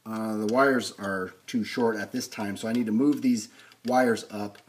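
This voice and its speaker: A man's voice: on the low side, fairly even intonation, a little slow. A man giving a casual, unscripted hands-on repair demonstration, thinking aloud as he works. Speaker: host of a vintage record player restoration video series.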